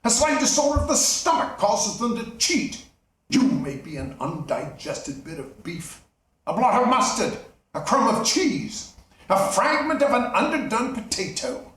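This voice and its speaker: A panicky, stammering old miser, put on by a male storyteller, his voice leaping high and loud. The voice darts wildly up and down. It sounds distant, with heavy room echo. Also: very animated, measured pace, full character voice. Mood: scoffing. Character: frightened miserly old man Scrooge. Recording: echoey room, distant mic.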